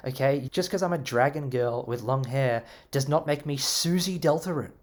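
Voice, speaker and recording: An Australian man casually answering viewer comments, animated and sarcastic with plenty of swearing. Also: mid-range voice. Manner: animated, expressive, sarcastic and profane.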